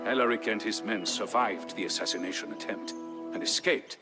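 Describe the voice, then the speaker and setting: Man playing a deep, grave Gothic warrior chieftain. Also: lightly acted. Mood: stirring, intense. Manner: solemn, measured, theatrical storytelling. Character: grave Visigothic warrior chieftain, the dead king's brother-in-law, recounting history. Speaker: story narrator. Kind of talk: dramatic scripted narration for a strategy game. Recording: narration over prominent music.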